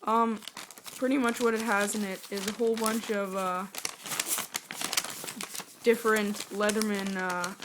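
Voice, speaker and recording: Woman, scratchy sore-throat voice, steady monotone, chatty rambling unboxing vlogger. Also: mid-range voice, measured pace, pauses often. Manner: rambling, chatty, self-aware. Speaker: product reviewer. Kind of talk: casual unboxing review.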